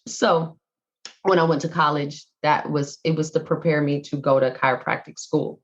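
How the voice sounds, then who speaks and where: Woman in her 40s, lower voice, chatty and animated guest, kinda muffled lo-fi audio. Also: moderate pace. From Jamaica, raised in Brooklyn, New York. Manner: animated, playful, acts out her past self in quotes. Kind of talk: casual interview storytelling.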